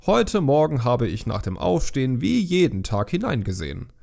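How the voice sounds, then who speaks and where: Man playing an animated fisherman storyteller. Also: mid-range voice, normal speed, gravelly, faint music behind, heavily acted. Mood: matter-of-fact. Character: excited male fisherman recounting a wild sea escape.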